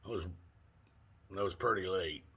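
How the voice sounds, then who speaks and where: Older guy vlogging, husky with a bright edge, easygoing storyteller. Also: low-pitched, normal speed. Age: 60s. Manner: folksy, rambling, laughs often, mild cursing. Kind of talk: casual storytelling vlog.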